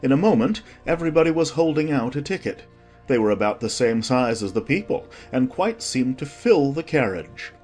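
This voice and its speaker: A man narrates a children's storybook with a very lively, expressive delivery. His voice sits at a middle pitch, with faint music underneath. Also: normal speed.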